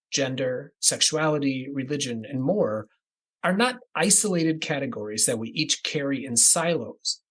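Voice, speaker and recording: A man from the American Midwest hosting a podcast, reading a scripted introduction in a measured, deliberate cadence that moves through lists. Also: medium pitch, fairly bright tone, slightly rough, low-quality recording. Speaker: podcast host.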